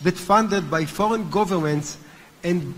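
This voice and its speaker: A man's voice: sits high, fairly bright tone. A man with a heavy Hebrew accent, a native Hebrew speaker, gives an earnest formal speech as chairman of a movement at a conference. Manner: earnest, deliberate, with occasional restarts. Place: large hotel conference hall. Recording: long hall reverb.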